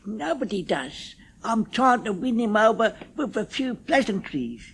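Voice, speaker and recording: A man's voice: high voice, very animated, measured pace, gravelly, slightly rough, voice pushed up, full character voice. A man voicing a cheerful, scatty older uncle who chats and quips in a storybook reading. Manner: chatty, quipping.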